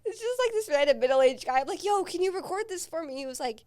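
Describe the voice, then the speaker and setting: A woman's voice: extremely high pitch, animated, fast, a little mellow. A young woman hosting a podcast, telling casual stories with frequent laughs and swearing. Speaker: podcast host. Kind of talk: casual chat.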